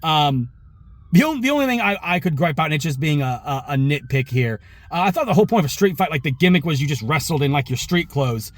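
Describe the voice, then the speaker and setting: A man with a fairly high voice and a touch of hoarseness gives his opinions as a show host. The recording is dry and close. He talks casually and conversationally, wandering into asides and correcting himself as he goes. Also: animated, fast talker, no echo. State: slightly hoarse. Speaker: wrestling reaction show host. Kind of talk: casual opinion commentary to viewers.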